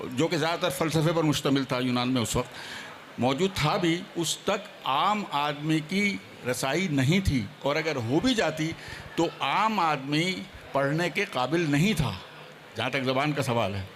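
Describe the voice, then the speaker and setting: Panelist, male. Slightly high pitch, formal lecturing delivery with English terms, faint background noise. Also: expressive, unhurried, fairly bright tone. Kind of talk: formal panel discussion talk.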